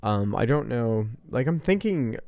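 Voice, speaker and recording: A man's voice: medium pitch, very animated, measured pace, darkish tone, no room sound. A man hosting a casual typing session, tentative and hesitant as he thinks aloud. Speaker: host of a personality typing session. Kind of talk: casual chat.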